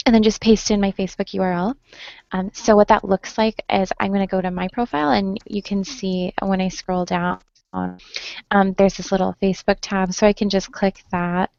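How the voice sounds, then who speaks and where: A woman's voice: medium pitch, creaky, no echo. A young woman leading an online screen-share training, friendly, with many "um" fillers, heard over a web call. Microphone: computer mic on a video call. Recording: dull lo-fi web call audio.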